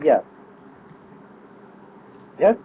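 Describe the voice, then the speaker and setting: A man in his fifties with a Lithuanian accent, introducing himself as a learner in hesitant, broken English, searching for words. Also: very slow. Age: 50s. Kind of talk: halting self-introduction presentation. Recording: tinny, phone-like internet call audio.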